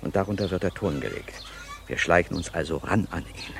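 An actor playing a bossy, enthusiastic, middle-aged TV director who explains and commands in a naturalistic radio play. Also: male voice, sits low, animated, fairly quick, creaky, background noise. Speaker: TV film director character. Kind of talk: naturalistic radio drama acting.

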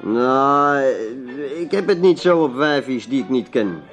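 A man's voice: mid-range voice, animated, slow, a bit bright, faint music behind. A man playing a boisterous, odd barfly in a radio drama, with a slangy, drawling, blustering way of talking.

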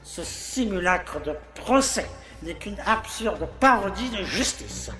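A man's voice: high voice, lively intonation, slow, bright, forceful tone, faint music behind, exaggerated voice. An actor playing an indignant, self-pitying village mayor who stammers as he protests his innocence in a French audio drama. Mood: scornful. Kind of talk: French audio fiction acting. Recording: roomy, echoing sound.

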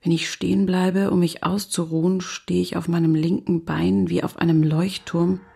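A weary, uneasy woman speaks slowly in a radio drama. Her low voice is very husky and breathy, with a gravelly creak, halting as if she is wary of her surroundings. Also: flat delivery, heavily acted. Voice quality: breathy, husky, half-whispered. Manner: slow, hushed, halting. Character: weary, uneasy woman wandering alone in a forest. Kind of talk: German radio play, close inner narration.

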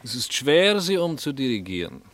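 An actor playing a proud, courteous Soviet writers' union official who argues and questions in a radio drama. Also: male voice, mid-range voice, big pitch swings, moderate pace, fairly bright tone, dry recording.

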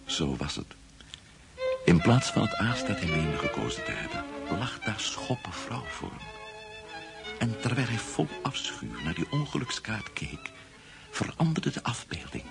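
A man's voice: lowish voice, wildly swooping pitch, unhurried, gravelly, loud music behind the voice, heavily acted. An actor playing a man who tells an eerie tale in a radio drama. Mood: ominous, hushed. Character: dramatic male storyteller recounting an eerie tale.

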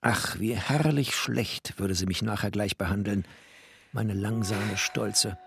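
An actor playing a bookish, tender and wry first-person narrator with poetic, deliberate phrasing in a literary radio drama. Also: male voice, on the low side, gravelly, fairly bright tone, slight background noise, heavily acted.

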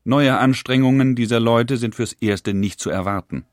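An actor reading letters as a stern elder brother who heads a family firm, in a measured, grave and formal manner. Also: male voice, mid-range voice, no room sound, studio quality, close to an everyday voice. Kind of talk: reading a historical letter aloud.

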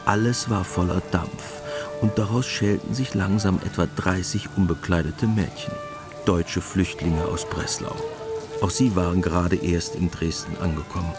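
Low-voiced man narrating a radio drama. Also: normal speed. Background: music.

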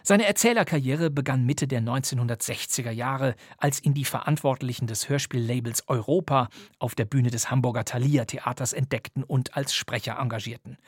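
A male podcast host reads a scripted introduction in a husky, medium-pitched voice. The recording is close and dry. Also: fairly quick, no echo. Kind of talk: scripted presentation.

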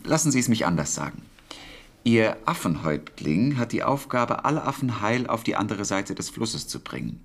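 An actor in a German radio drama playing a wartime codemaster, awkward and exacting, with a hesitant, precise delivery and a wistful streak. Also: male voice, lowish voice, very animated, moderate pace, gravelly, slightly rough, exaggerated voice. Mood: tactful, precise.

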